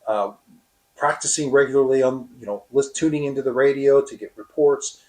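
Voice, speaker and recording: A man explaining his experience as a radio operator in an interview, with halting, deliberate phrasing. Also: medium pitch, a little mellow, low-quality recording.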